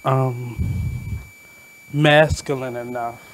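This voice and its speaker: A man in his thirties from Baltimore with a naturally high voice, hosting his radio show in a candid, blunt, reflective monologue. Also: fairly even intonation, extremely slow, slight background noise, low-quality recording. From Baltimore, USA. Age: 30s. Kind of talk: casual monologue.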